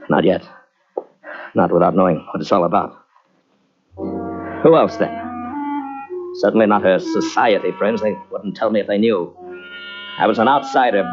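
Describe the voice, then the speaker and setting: A man's voice: on the low side, natural voice. An actor playing a young male engineer, newly married, narrating a murder mystery in 1940s radio style. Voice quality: urgent first-person narration swinging to shouted calls. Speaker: lead actor narrating his part. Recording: dull lo-fi old radio tape with music.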